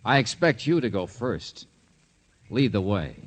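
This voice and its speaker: Old time radio private eye, lowish voice, real lively swings, cocky n sarcastic, slangy. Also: male voice, a little slow, slightly rough, exaggerated voice. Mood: wry, cool. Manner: sardonic, slangy, confident. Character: cocky, wisecracking male private detective, tough and needling. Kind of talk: 1950s radio detective drama acting.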